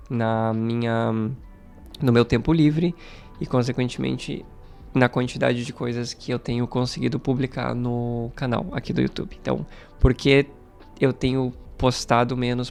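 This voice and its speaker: A Brazilian man talks casually to camera like a teacher updating his online community. His voice sits at a middling pitch with a noticeable gravelly fry, and faint music plays behind him. He is conversational and stresses points by repeating them. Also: a little mellow. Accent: Brazilian Portuguese. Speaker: Portuguese teacher and YouTube channel host.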